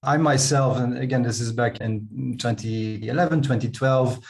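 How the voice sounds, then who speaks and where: A man giving conversational interview answers, often pausing and repeating words as he goes. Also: mid-range voice. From Netherlands. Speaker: guest, company co-founder and CEO.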